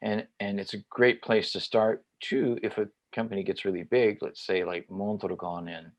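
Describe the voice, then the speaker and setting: Halting, low-voiced male host. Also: level tone, dry recording. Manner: halting, searching for words. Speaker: show host. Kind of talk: conversational interview.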